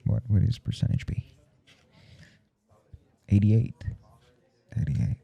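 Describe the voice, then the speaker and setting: A man playing a blunt, intimate professor in an ASMR audio roleplay, speaking in a halting, confiding way with a teasing, domineering edge. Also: expressive, highs cut off, exaggerated voice. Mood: taunting, playful. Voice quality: low, gravelly, soft and dark. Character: adult male professor, intimate, callous, earnest, teasingly domineering.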